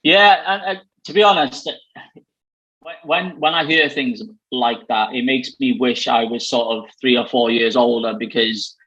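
A man's voice: mid-range voice, measured pace, a bit bright, lo-fi sound. A man from Wales with a Valleys accent, chatting casually as host, rambling with plenty of fillers. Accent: South Wales Valleys. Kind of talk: casual chat.

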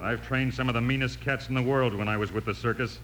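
An actor playing a rough, grumbling outlaw cougar trainer in an old-time radio western, with an American Western accent. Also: male voice, mid-range voice, steady tone, fast talker, natural voice. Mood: grumbling, uneasy. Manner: rough, complaining. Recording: noisy old radio drama recording.

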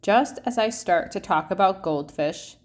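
A woman reading a children's picture book aloud expressively, with drawn-out phrase endings. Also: medium pitch, lively intonation, a little mellow.

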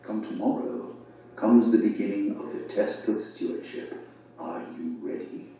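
An actor playing a wise, solemn teacher in an audio drama, speaking with measured authority. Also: male voice, lowish voice, mellow, close to an everyday voice. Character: grave, wise male sage and teacher of a school. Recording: roomy echoing playback, far from mic.

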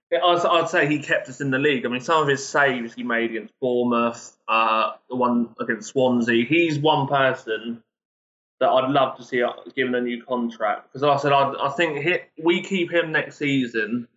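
A man's voice: mid-range voice, fairly bright tone, dull audio. A man who is a guest on a podcast, rambling hesitantly and restarting his sentences. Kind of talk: casual podcast chat.

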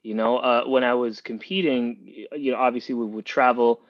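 A man's voice: mid-range voice, steady tone, a little fast, no room sound, dull audio. A Cuban-born man, a guest on a podcast, talking casually with many restarts and fillers over a remote call. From Cuba. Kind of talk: casual interview talk.

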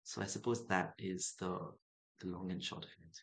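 A man's voice: deep voice, steady tone, moderate pace, creaky, a bit bright. A young man from Dubai and Chennai, a writer guest in an interview, talking in a reflective, musing way. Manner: thoughtful, musing, acts out quoted voices.

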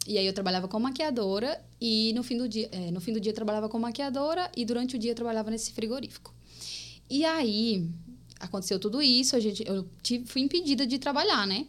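A woman's voice: a little high, quick pace. A young woman in her twenties from Paraíba with a mixed Northeastern Brazilian accent, telling stories as a podcast guest. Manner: lively storytelling, often addressing listeners as "gente". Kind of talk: casual podcast chat.

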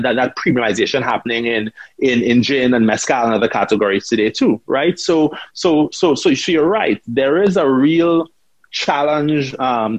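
Male founder from Trinidad and Tobago with a husky voice, recorded dry and close, answering interview questions with frequent restarts. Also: mid-range voice, dry recording, rough recording. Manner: repeats words and says right often. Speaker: rum brand founder.

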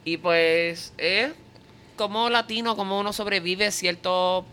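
A talk-show panelist with a bright, clean voice at a middling pitch. The chat is casual and playful, sliding between Spanish and English. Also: steady tone, slow, clean tone.

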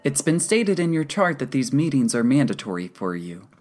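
A woman's voice: very deep voice, big pitch swings, normal speed, darkish tone, soft background music, voice pushed up, heavily acted. Woman acting a polite, insistent female nurse. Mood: firm, polite.

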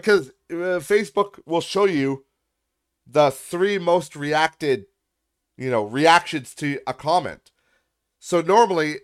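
A young man with a nasal voice hosting a solo podcast, ranting with exasperation, profanity and lots of restarts. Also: on the high side. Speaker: podcast host.

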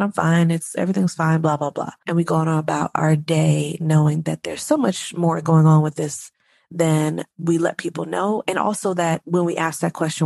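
A woman with a low voice and a stuffy nose hosts her own podcast, speaking straight to her listeners in a relaxed, conversational monologue. Her voice is dry and close, with a steady gravelly fry running through it. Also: no echo. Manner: conversational, addresses listeners directly. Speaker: podcast host.

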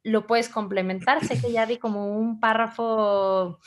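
A woman's voice: fairly high pitch, steady tone, measured pace. A woman co-hosting a podcast, reading a guest's introduction and then asking him questions in an upbeat, chatty way over a video call. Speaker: podcast co-host.

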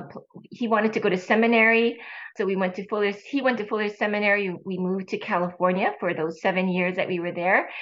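Woman in her 60s, from Hawaii, earnest, halting restarts, muffled lo-fi sound. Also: mid-range voice, a bit bright. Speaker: guest, ministry leader. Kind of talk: casual interview talk.

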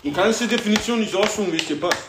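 A man's voice: high-pitched, lively intonation, rapid-fire, very noisy. A young man from Bosnia, arguing insistently in slangy casual banter. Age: young adult. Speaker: podcast participant. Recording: echoey room, chatter around.

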